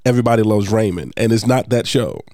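A man's voice: on the low side, animated, quick pace, darkish tone, no echo, clean studio sound. A man co-hosting a casual podcast with his wife, congested from a chest cold, talking in an earnest, teasing way. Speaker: podcast co-host. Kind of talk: casual chat.

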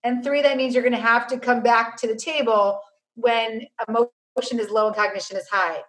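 A woman's voice: fairly even intonation, moderate pace, a bit bright, poor audio. A woman who works as a family mediator, talking earnestly as a guest, laying out numbered steps and telling anecdotes. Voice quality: low-voiced by her own account. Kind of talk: conversational guest explaining advice.

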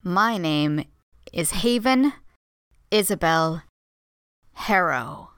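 Woman playing an eerie, slow, smooth-voiced girl. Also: lowish voice, animated, a bit bright, dry recording, clean studio sound, exaggerated voice.

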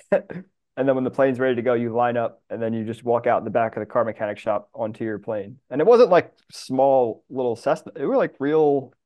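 A man's voice: mid-range voice, moderate pace, lo-fi sound. A man chatting casually as a guest on a podcast. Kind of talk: casual chat.

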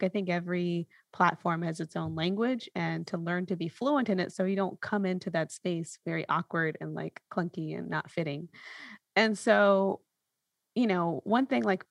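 A woman's voice: lowish voice, vocal fry, dry recording. A woman podcast host talking casually in a reflective, explanatory way. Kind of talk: casual conversational podcast talk.

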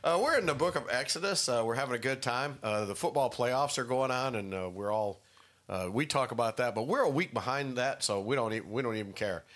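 A man hosting a casual Bible discussion podcast, a little nasal from a head cold, chatty and quick to laugh. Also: medium pitch, animated, a bit bright. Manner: chatty, jokey, laughs easily. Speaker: podcast host. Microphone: studio podcast mic.

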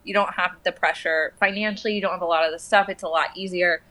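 A woman's voice: lowish voice, fairly even intonation, fast, sharp tone, low-quality recording. An American woman from the southwestern United States talking casually in conversation as a guest.

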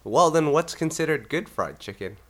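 A man's voice: a little high, animated, moderate pace, fairly bright tone. A Canadian man hosting a podcast, congested and a bit nasal from illness, easygoing and laughing often. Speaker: podcast host. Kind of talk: casual chat.

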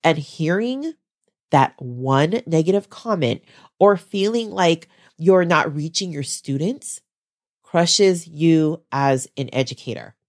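A woman's voice: animated, takes it slowly. A woman hosting her own podcast as a special education teacher, talking to listeners in a chatty, encouraging way while stuffed up from the flu. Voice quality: lower, congested voice. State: stuffed up, getting over the flu. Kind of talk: solo podcast talk.

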